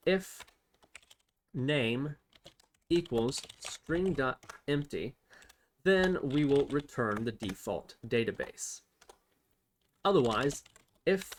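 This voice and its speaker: A man's voice: mid-range voice, slight background noise. A man hosting an unscripted programming tutorial, thinking aloud step by step as he types. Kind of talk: unscripted screen-recorded coding tutorial.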